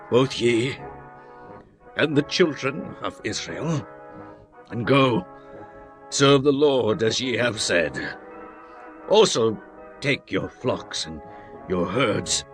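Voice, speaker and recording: A man playing a grief-stricken Egyptian king giving orders in a halting, anguished way, in a dramatised scripture recording. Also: on the low side, fairly bright tone. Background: music.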